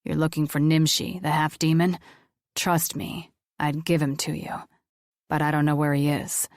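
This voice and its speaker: A woman voicing a wounded young male fighter who speaks low and harsh, tough and protective. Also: level tone, normal speed, no echo, clean studio sound.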